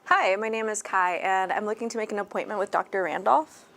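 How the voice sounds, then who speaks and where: A woman acting as a nonbinary patient phoning a clinic. The voice is mid-pitched, polite and plain-spoken, a little hesitant, in a training role-play. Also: a little fast, gravelly, a bit bright. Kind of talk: scripted training role-play phone call.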